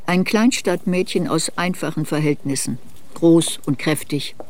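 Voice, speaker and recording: An actor narrating a literary radio drama as a reflective narrator looking back on youth. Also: male voice, a little high, unhurried, a little mellow, slight background noise. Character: narrator recalling a girl's youth in reflective memoir. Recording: studio radio drama with music.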